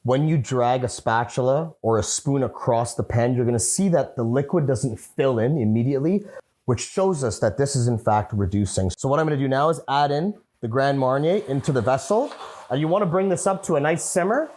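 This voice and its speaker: A man's voice: mid-range voice, expressive, a little fast, a little mellow. A man hosting a cooking show, playful and instructive as he talks viewers through a recipe. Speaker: chef and host. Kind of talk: upbeat cooking show presenting.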